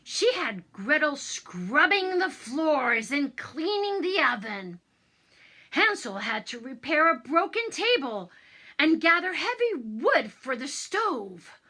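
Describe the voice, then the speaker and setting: A woman narrating a children's bedtime story in a theatrical, expressive manner, as a storyteller. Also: sits high, slow. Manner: theatrical, expressive, with exclamations and asides.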